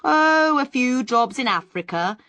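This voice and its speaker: A woman's voice: sits high, expressive, a little slow, sharp tone, dry recording, pitched above the performer's own voice, full character voice. Woman doing a jokey young man. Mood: teasing. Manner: teasing, jokey. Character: joking young man, the room's clown.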